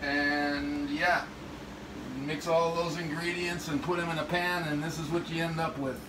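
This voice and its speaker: A man's voice: on the high side, level tone, a little slow. A middle-aged man walking through a recipe in his home kitchen, rambling a little as he explains each step. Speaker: home cook. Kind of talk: casual cooking demo. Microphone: phone camera across the room. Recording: echoey kitchen, distant phone mic, hum.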